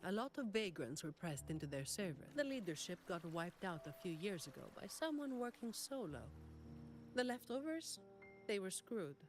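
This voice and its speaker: A woman's voice: medium pitch, very animated, moderate pace, natural voice. An actress playing a cool, wry young woman security officer in a video game, with a dry, matter-of-fact delivery. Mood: dry, weary. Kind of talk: anime-style video game acting. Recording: game music behind the voice.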